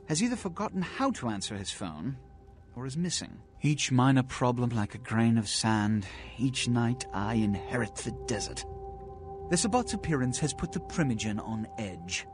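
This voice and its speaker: Male video game role male actor: aristocratic vampire schemer, condescending, lively intonation, faint music underneath. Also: mid-range voice, close to an everyday voice. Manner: pompous, ornate, condescending phrasing. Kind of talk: scripted video game acting. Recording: music behind.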